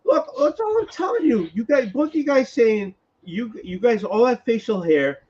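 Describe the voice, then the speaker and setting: A man's voice: very high-pitched, big pitch swings, moderate pace, darkish tone, slightly rough, lo-fi sound. A man chatting on a live stream, crude and teasing, swearing freely at his friends. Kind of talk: casual chat on a live stream.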